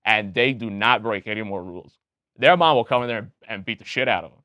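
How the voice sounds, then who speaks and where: A man's voice: on the low side, fast, pushed and bright, no room sound. A young man with a Romanian accent, a guest candidate talking opinionatedly in a casual interview, with many restarts. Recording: dull lo-fi sound.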